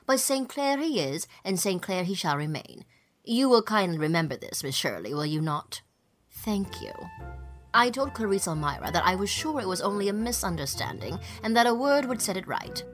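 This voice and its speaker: A woman's voice: mid-range voice, sing-song, normal speed, soft background music, lightly acted. Lady doing a snooty affected matron from an audiobook, pompous, bossy, voice swoops all over. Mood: haughty, indignant. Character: small, gaudily dressed, haughty, affected society matron. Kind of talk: audiobook character dialogue.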